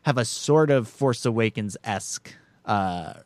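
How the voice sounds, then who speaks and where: Podcast guy talking movies, lowish voice, keeps rewording stuff as he goes, dry close sound. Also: expressive, measured pace, darkish tone, no echo. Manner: hesitant, repeating and rephrasing his words as he thinks aloud. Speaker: podcast co-host. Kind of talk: casual film discussion.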